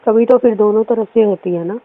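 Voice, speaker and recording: A woman's voice: mid-range voice, brisk. A woman speaking politely and hesitantly, with an apologetic, coy manner in a phone conversation. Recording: band-limited phone call audio.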